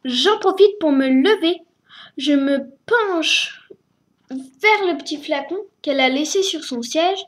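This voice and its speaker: Slow high-voiced woman reading a children's story. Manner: slow, hesitant reading with fillers.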